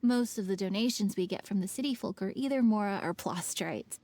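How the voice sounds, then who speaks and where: An actress playing a polite, businesslike young woman who manages a festival project in a video game, explaining things clearly. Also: medium pitch, fairly quick, a little mellow, close to an everyday voice.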